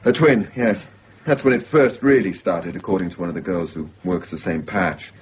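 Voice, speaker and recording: A man playing a measured, understated mental-health worker briefing a colleague in a naturalistic radio drama. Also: low voice, husky. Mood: grave, matter-of-fact. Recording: dull lo-fi radio recording.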